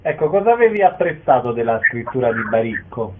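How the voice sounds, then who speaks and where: A man's voice: mid-range voice, big pitch swings, fairly quick. A man hosting a radio interview. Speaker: radio host. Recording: tinny phone-like sound, clear noise, clicks.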